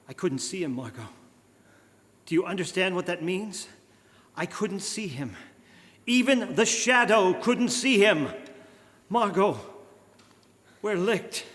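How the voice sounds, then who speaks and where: A man playing an earnest, authoritative amateur detective in old-time radio drama style, deliberate and firm. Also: high voice, expressive, a bit bright. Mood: urgent, grim. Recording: live stage, reverberant church hall.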